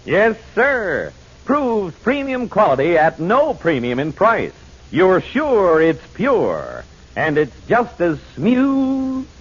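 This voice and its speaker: A man delivering slogans as a pitchman in a 1940s radio commercial. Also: wildly swooping pitch, takes it slowly, breathy edge. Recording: muffled vintage radio recording.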